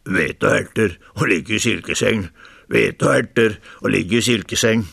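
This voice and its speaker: A man's voice: mid-range voice, vocal fry, fairly bright tone, no room sound, studio quality. A man voicing a simple, grumbling pig in a folk tale, plaintive and mumbling. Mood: contented mumbling. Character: grumbling, simple-minded male pig, easily led.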